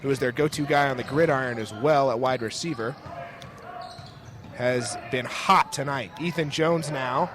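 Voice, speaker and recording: A man's voice: mid-range voice, moderate pace. A young man doing live radio play-by-play commentary of a basketball game from inside a school gym. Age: young adult. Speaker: play-by-play announcer. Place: indoor school sports gym. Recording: noisy courtside gym, ball bounces behind. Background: gym ambience, bouncing basketballs, clear noise.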